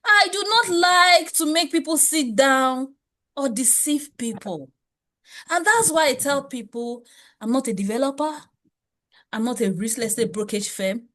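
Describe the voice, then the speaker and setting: A woman teaching a wealth class with a fairly high voice. She speaks emphatically and preaches with many rhetorical questions. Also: a little slow, bright, forceful tone. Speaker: wealth coach. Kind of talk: motivational class lecture.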